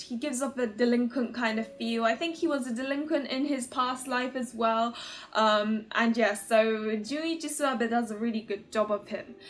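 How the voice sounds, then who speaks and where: A woman hosting her own video, chatting casually to viewers in a giggly, informal, rambling fangirl manner. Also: on the high side. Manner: giggly, gushing fan talk, informal and rambling. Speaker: host of a video channel about otome games.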